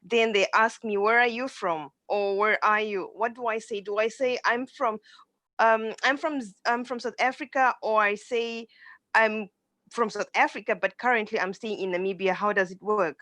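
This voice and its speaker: A woman's voice: mid-range voice, fairly even intonation, normal speed, sharp tone, dry recording. A woman student on an online class asking a question curiously, thinking aloud as she asks. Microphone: video call.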